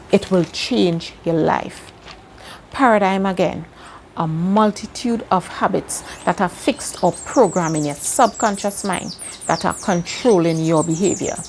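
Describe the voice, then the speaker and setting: A woman's voice: mid-range voice, darkish tone, a little hiss or hum. A woman from Trinidad and Tobago with a Trinidadian accent, teaching a self-help lesson in an earnest way and repeating key words for emphasis. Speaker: self-help video host. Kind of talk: slow motivational teaching monologue.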